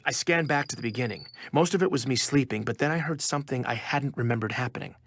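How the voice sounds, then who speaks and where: A man's voice: medium pitch, quick pace, fairly bright tone. A man playing a proud, wronged journalist, telling his story in a confiding, wry first-person audio drama narration. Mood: wry, tense. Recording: music under the voice.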